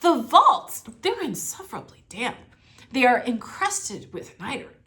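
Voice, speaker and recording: Woman acting sly storybook nobleman, effusive flattery, feigned concern, swooping intonation, bright tone. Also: on the high side, unhurried. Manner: effusive, theatrical. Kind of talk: dramatic story read-aloud.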